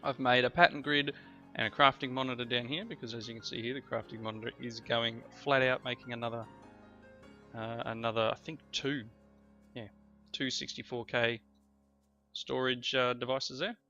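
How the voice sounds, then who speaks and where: A man's voice: mid-range voice, steady tone, moderate pace, bright, forceful tone. A man coming down with a cold, chatting his way through a video game in rambling, self-correcting commentary. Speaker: let's play host. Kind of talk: casual gameplay commentary. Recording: slightly dull lo-fi recording. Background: faint background music.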